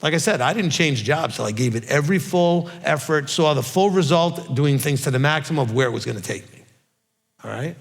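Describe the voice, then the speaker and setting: A man's voice: fairly high pitch, animated, fairly quick, vocal fry, long reverb tail. A middle-aged man giving an informal Bible teaching, emphatic and often checking in with "okay?". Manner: emphatic, repeats "okay?" and "all right?".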